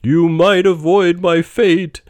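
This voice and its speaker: Man putting on a chained ghost, slow, booming, drawn-out, raised pitch, dry sound. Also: fairly even intonation, darkish tone, dry recording. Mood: warning. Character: chained ghost of a dead business partner, grave and warning.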